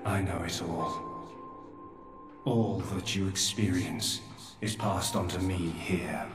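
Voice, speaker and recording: Deep, weary old godlike father. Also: fairly even intonation. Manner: solemn, detached, unhurried. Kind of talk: dramatic video game voice acting. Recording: echoey, distant, music under voice.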